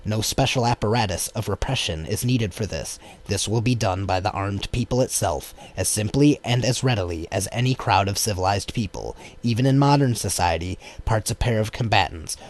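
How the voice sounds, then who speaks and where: Deep-voiced man reading an audiobook. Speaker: audiobook reader. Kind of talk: scripted narration of a political text. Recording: clear background noise.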